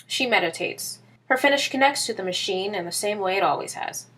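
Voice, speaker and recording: A woman's voice: medium pitch, lively intonation, brisk, husky, rough recording. A woman announcer reading scripted narration for an audio drama. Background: loud music.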